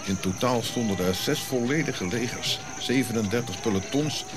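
An actor playing a reflective African prince who recounts his royal youth in measured, eloquent radio drama monologue, pausing as he goes. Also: mid-range voice, music in the background, close to an everyday voice. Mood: stately, proud. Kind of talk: audio drama narrative monologue.

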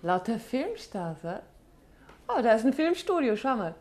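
An actress playing an alert, affectionate wife of an investigator in a 1950s German radio drama. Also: female voice, fairly high pitch, big pitch swings, normal speed, a little mellow. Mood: curious.

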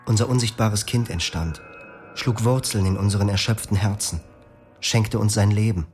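Grave, low-voiced male narrator. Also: normal speed, darkish tone, soft background music. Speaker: first-person narrator of a radio drama. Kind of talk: scripted first-person narration.

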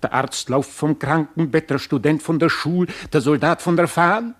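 An actor playing a folksy, lamenting news-bearer, rambling obsequiously in dialect-coloured speech in a radio play. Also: male voice, a little high, normal speed, lightly acted. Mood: lamenting, gossipy. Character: folksy, lamenting gossip bringing news to his master. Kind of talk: theatrical radio drama acting.

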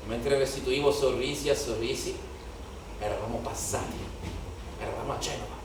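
A man's voice: mid-range voice, fairly even intonation, moderate pace, far from the mic, lightly acted. Actor as young male rioter, very echoey hall. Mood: hushed, secretive. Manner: incantatory, repeating phrases in lists. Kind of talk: theatrical stage monologue. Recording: echoey, noisy live theatre recording from afar.

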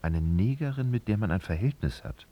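A man narrating a literary radio drama in a husky voice, with dramatic, sardonic storytelling. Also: fairly low pitch, lively intonation, a little fast, muffled recording.

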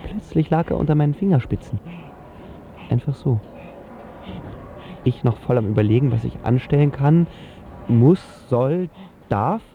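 A man's voice: medium pitch, a little slow, pauses often, narrow, tinny audio, slight background noise, close to an everyday voice. An actor playing a lovestruck, self-doubting seventeen-year-old boy who tells his story in casual slang for a radio drama. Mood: excited, awestruck. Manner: slangy, colloquial. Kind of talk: scripted first-person radio drama narration.

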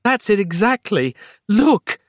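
A man's voice: high voice, big pitch swings, takes it slowly, dry recording, studio quality, pitched above the performer's own voice, full character voice. A man voicing an urgent, exasperated woman in a comic horror story, with breathless melodrama. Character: panicky, exasperated woman, a horrified colleague and rescuer.

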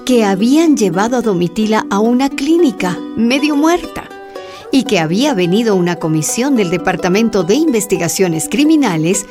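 A woman's voice: medium pitch, big pitch swings, moderate pace, over music, natural voice. A woman acting as the narrator of a radio drama, reading scripted dramatic narration. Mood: narrating.

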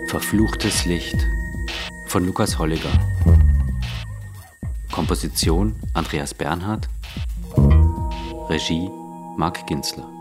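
A man's voice: low voice, slow pace, long pauses. A man announcing the title and credits of a radio play in a scripted style. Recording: loud guitar music behind announcement.

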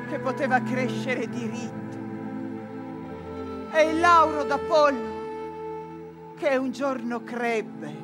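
An actress playing a grave, mourning chorus who moralises in measured verse in a stage tragedy. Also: female voice, high-pitched. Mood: mournful. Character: solemn mourning chorus, moralising over a fallen scholar. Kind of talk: theatrical verse epilogue for radio. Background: dramatic music.

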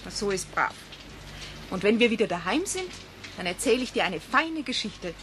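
An actress playing a young mother in a radio play, soft and persuasive as she coaxes her child. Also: medium pitch, big pitch swings, moderate pace, slight background noise. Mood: coaxing. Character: gentle, coaxing young mother.

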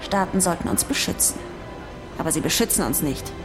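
A woman's voice: on the low side, animated, brisk, music in the background, lightly acted. A woman playing a cynical, foul-mouthed stateless ex-American trader in a German radio drama, blunt and opinionated.

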